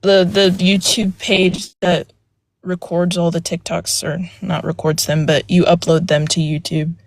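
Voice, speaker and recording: Soft-voiced young woman, hesitant. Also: on the low side, little pitch movement, unhurried, no echo. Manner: hesitant, many fillers and restarts. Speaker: guest speaker on a live panel. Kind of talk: casual personal account. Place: outdoors near a road.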